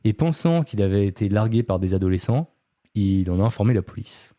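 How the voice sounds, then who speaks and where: A man's voice: fairly low pitch, animated, fairly quick, warm tone, no room sound, studio quality. A man hosting a podcast, telling a true-crime story casually, with many fillers and chatty asides to his co-host.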